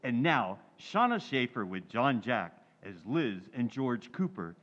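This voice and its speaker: Animated old-time radio announcer man. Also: mid-range voice, measured pace, lo-fi sound, soft background music.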